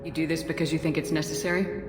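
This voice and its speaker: Woman as a deep-voiced, no-nonsense space commander. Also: fairly even intonation, quick pace, lightly acted. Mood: cool, probing. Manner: direct, probing, commanding. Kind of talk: video game voice acting. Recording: echoey long hall, loud music.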